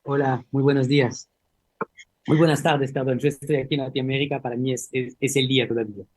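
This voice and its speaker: Man, French accent with Argentine touch, remote guest, relaxed, repeats words. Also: medium pitch, fast, poor audio. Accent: French with some Argentine Spanish. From France. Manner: relaxed, self-deprecating, some repeated words.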